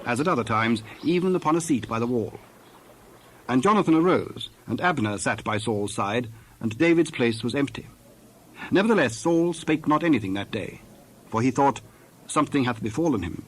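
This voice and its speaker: A man narrating scripture from a script in a measured, formal storytelling manner. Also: mid-range voice, a little hiss or hum.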